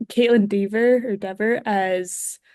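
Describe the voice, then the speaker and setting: Female podcast guest, medium pitch, casual and appreciative, slightly hesitant, dry close recording. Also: fairly even intonation, slow, dark tone, dry recording. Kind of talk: casual opinion chat.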